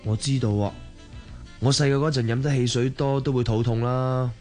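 A man's voice: mid-range voice, fairly even intonation, normal speed, darkish tone, soft background music, close to an everyday voice. A man acting a laid-back, defensive husband and father in a radio drama.

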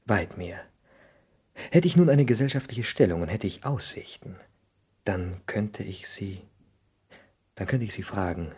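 An actor playing an ambitious, hot-headed young son, idealistic and argumentative, in a 1960s German radio drama. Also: male voice, lowish voice, animated, a little slow, pauses often, darkish tone, slightly rough. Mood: defiant, impassioned. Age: young adult. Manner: impassioned, argumentative.

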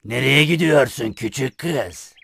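A woman voicing a sly wolf in a children's fairy tale cartoon, coaxing slowly as it poses as a sweet grandmother. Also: very deep voice, animated, vocal fry, fairly bright tone, heavily acted. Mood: sly, friendly. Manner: coaxing, slow, drawn-out delivery.

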